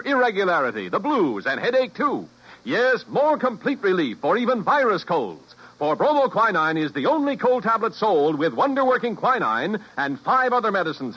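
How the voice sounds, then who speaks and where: A male announcer with a high, very animated voice delivers an emphatic fifties radio commercial. His scripted pitch comes through a dull, vintage broadcast recording. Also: husky. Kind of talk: 1950s radio advertising read.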